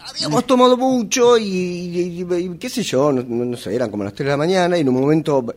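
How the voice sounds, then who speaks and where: An actor in a radio theatre exercise playing a nervous, hesitant husband, stammering and repeating himself with an Argentine accent. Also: male voice, high voice, brisk, a little mellow, natural voice. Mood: sheepish, confessing. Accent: Argentine, Rioplatense. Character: nervous husband haltingly confessing an old affair. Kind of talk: radio theatre workshop acting.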